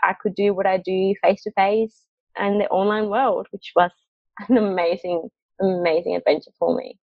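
A woman's voice: mid-range voice, steady tone, normal speed, gravelly, no room sound. A young woman from Mexico, a guest on an interview, chatting casually with frequent laughs and fillers. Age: young adult. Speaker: guest, marketing agency founder. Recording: dry, dull lo-fi call audio.

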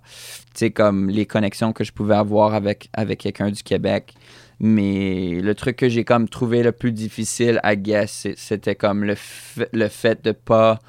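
A man's voice: on the low side, a little mellow, smooth, no room sound, studio quality. A young man with a Fransaskois French accent shaped by English, talking through an interview in a hesitant way, with many restarts and stray English words. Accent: Fransaskois French, influenced by English. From Saskatchewan, Canada. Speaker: guest musician. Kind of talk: casual interview chat.

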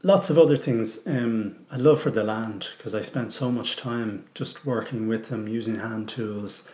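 A man's voice: on the low side, unhurried, darkish tone, echoey room. A man in his forties with a Dublin Irish accent, looking back in a loose, reflective way with many ums and restarts. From Ireland. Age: 40s. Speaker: interviewee. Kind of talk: recorded personal testimony.

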